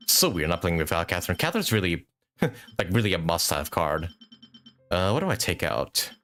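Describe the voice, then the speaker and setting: A man's voice: low voice, very animated, moderate pace, a bit bright, soft background music. A man chatting casually as a live game streamer, rambling and thinking aloud with some mild swearing. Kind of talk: casual gaming stream commentary.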